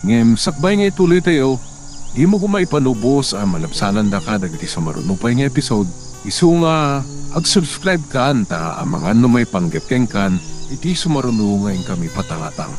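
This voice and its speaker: Male channel host doing the outro, lively pitch. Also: normal speed. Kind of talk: outro announcement.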